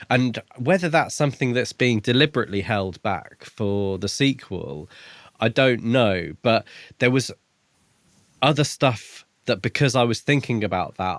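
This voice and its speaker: A man's voice: medium pitch, dry recording. A man co-hosting a casual book podcast, thinking aloud hesitantly as he talks. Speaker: podcast co-host.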